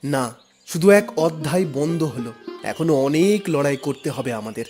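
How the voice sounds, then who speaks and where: Man playing an earnest, high-voiced honest youngster. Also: animated, moderate pace, darkish tone, faint music behind, close to an everyday voice. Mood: resolute. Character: reproachful honest younger relative, earnest and resolute. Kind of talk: cartoon dialogue.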